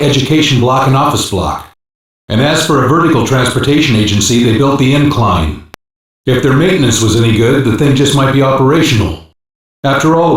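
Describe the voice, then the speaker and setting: A man's voice: medium pitch, lightly acted. A man playing a businesslike cyber-police analyst in an English sci-fi game, giving matter-of-fact technical briefings. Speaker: intelligence operative. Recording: very echoey, distant-sounding game audio.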